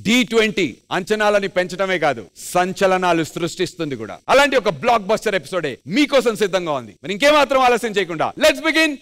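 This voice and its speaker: High-energy man hosting, fast and high-pitched. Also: expressive, fairly bright tone. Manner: emphatic, drawn-out announcing. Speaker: TV dance show host. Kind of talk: high-energy stage presenting and comic banter. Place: TV studio stage. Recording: music bed behind stage voice.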